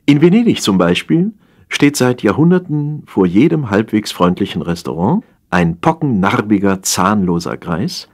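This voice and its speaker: A man narrating a humorous satire as an audiobook reader, in a wry, theatrical storytelling manner with drawn-out emphasis. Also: lowish voice, sing-song, a little mellow.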